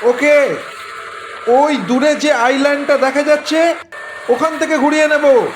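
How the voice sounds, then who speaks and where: A man voicing a cheerful young fiancé in an audio drama, teasing and giggly, with a notably high voice. His lines sit over a noticeable background hiss. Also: steady tone, unhurried. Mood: reassuring. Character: young man, carefree fiancé driving a speedboat.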